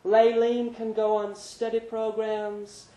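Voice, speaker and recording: A man voicing a sharp, scolding woman who runs a bar, in a live story reading. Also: high voice, completely flat delivery, slow pace, voice pushed up. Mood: pointed, frank. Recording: echoey pub room, background noise.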